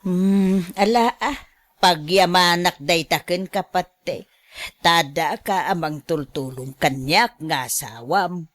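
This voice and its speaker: A woman acting a slow-spoken, weary Ilocano housewife. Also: on the low side, no room sound. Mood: protesting. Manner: plaintive, sighing. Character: weary, put-upon housewife, long-suffering and complaining. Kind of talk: Ilocano radio drama acting.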